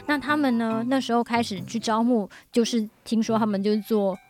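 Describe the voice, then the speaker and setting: A Taiwanese woman hosts a casual podcast interview in a fairly high voice. She often chimes in with short affirmations, and there is faint music behind her. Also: a little fast, a little mellow. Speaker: podcast host interviewing a guest.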